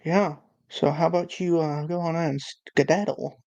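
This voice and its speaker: Man playing a creaky-voiced, snide townsperson. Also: fairly high pitch, steady tone, unhurried, darkish tone, no room sound. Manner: hesitant, casual sarcasm. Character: snide, unbothered townsperson in a fantasy tabletop game.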